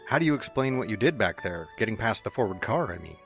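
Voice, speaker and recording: A man's voice: on the low side, expressive, quick pace, a bit bright, soft background music. A man reading the lines of an inquisitive male TV sports interviewer in a storybook reading. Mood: curious.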